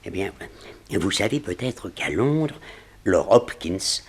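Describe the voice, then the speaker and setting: An actor playing a formal, earnest insurance director, speaking deliberately in a 1960s French radio drama. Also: male voice, low voice, very animated, husky, full character voice.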